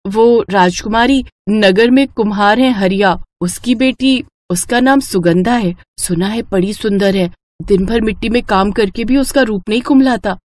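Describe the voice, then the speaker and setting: A woman voicing a timid maidservant in a cartoon story, deferential and hesitant as she flatters her mistress. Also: medium pitch, normal speed, lightly acted. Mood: nervous, hesitant.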